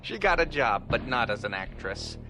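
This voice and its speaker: A man hosting an old-time radio show with a spooky, theatrical introduction and deadpan, paused punchlines. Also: mid-range voice, a little hiss or hum, low-quality recording. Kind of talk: spooky horror-host introduction with deadpan jokes.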